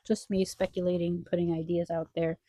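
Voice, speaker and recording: Young woman, low mellow voice, stuffed-up nose, rambling wistful vlog chat, dry close sound. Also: little pitch movement, a little fast, dry recording. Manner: rambling, wistful, heavy on fillers. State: congested nose. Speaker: vlogger. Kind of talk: casual chat while drawing.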